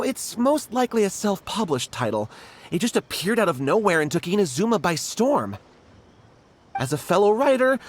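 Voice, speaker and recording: Animated, earnest struggling writer. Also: male voice, sits high, slight background noise. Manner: earnest, pleading, dramatic. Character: earnest, struggling male writer, hopeful and pleading. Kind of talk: anime game voice acting.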